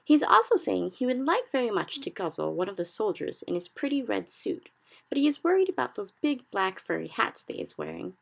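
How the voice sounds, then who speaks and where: A woman voicing a big, friendly male giant in a storybook reading, who speaks slowly and mangles his grammar. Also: medium pitch, very animated, gravelly, pitched above the performer's own voice. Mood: sly. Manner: slow, odd jumbled grammar.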